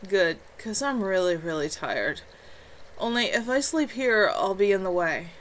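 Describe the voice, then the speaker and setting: A woman's voice: mid-range voice, expressive, measured pace, fairly bright tone, a little hiss or hum, rough recording. Read-aloud novel: woman voicing a drained young man, weary and slightly slurred. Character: exhausted poisoned young man.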